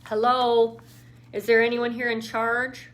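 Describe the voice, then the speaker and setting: A woman's voice: lowish voice, normal speed, natural voice. A woman voicing an anxious, guilt-ridden young woman who speaks hesitantly and earnestly. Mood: calling.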